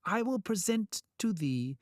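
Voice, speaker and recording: Storybook trickster god: high, swooping, smarmy, mock-polite, always wheedling his way out. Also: sing-song, a little slow, no room sound, clean studio sound. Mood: smooth, flattering. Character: sly, smarmy trickster god of mischief, male.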